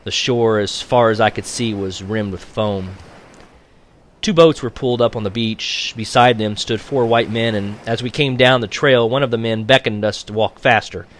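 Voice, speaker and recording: A man reading a novel aloud as a teacher would for his class, in an expressive audiobook style. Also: lowish voice, moderate pace.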